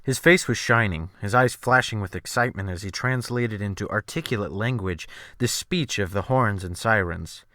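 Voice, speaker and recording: A man delivers dramatic first-person audiobook narration. His voice sits a little low yet has a bright, forceful edge. The phrasing is formal and theatrical, and the sound is close and dry, with no room on it. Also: normal speed, no room sound.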